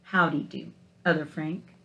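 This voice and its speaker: A woman's voice: low voice, fairly even intonation, a bit bright, lo-fi sound. Woman reading as an earnest, kindly storybook egg. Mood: joyful greeting. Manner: earnest, emphatic, deliberate phrasing. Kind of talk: expressive storybook read-aloud for children.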